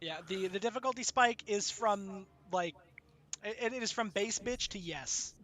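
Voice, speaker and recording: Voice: medium pitch, unhurried, bright, forceful tone. A person bantering casually with gaming friends, teasing and swearing.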